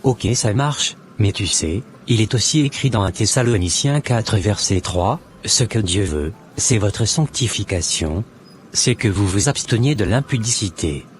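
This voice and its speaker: Low-voiced devout man, acted by a man, measured. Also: lively intonation, slight background noise. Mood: calm, admonishing. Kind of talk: scripted cartoon dialogue.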